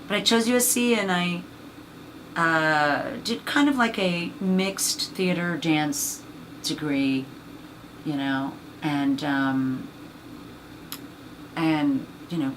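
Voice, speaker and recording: A woman with a husky, low voice, chatting as an interview guest about her acting career, rambling and filling gaps with "you know.". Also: takes it slowly, pauses often, slight background noise, rough recording. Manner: rambling, many fillers. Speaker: actress and voice actor guest. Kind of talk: casual interview chat.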